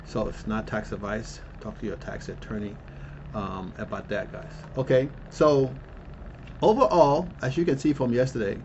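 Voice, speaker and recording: A man's voice: lowish voice, gravelly, darkish tone, lo-fi sound, slight background noise. A man in his fifties hosting an investing channel, talking casually and rambling as he walks through his portfolio, with lots of ums and restarts. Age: 50s. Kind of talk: casual walkthrough of a portfolio tracker. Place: Colombia.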